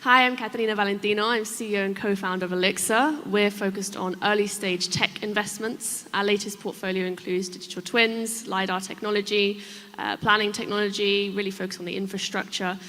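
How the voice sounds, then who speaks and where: A woman introduces herself briefly as a panelist at a conference. Her voice is of average pitch and rings with the reverb of a large hall. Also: steady tone, a little fast, pushed and bright. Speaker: panelist, investment company chief executive. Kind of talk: brisk self-introduction on a panel. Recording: echoey hall PA sound.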